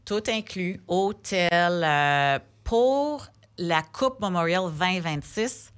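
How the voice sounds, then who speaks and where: Femme anglophone, français québécois, voix un peu grave, bavarde, hésitations fréquentes. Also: female voice, fairly low pitch, animated, slow. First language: English. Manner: chatty, many fillers and restarts. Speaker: sponsor representative guest. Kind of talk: casual podcast conversation.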